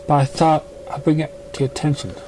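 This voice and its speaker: A man voicing an earnest, concerned young pony in a halting manner. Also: a little high, level tone, a little mellow, slight background noise, lightly acted. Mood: hesitant.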